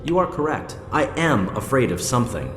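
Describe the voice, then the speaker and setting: A man playing a menacing, condescending captor in a sci-fi audio drama, speaking in a deliberate, taunting and formal way. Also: medium pitch, sing-song, close to an everyday voice. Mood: coolly menacing. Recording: echoey reverberant space, music behind.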